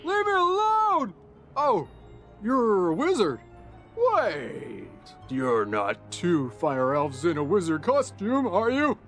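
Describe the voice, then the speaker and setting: A man's voice: sits high, huge pitch swings, takes it slowly, fairly bright tone, exaggerated voice. An actor voicing a cowardly, greedy, shifty townsman in a video game, jittery and theatrical as he wheedles. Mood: panicky, suspicious. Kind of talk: video game character acting. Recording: game music behind the voice.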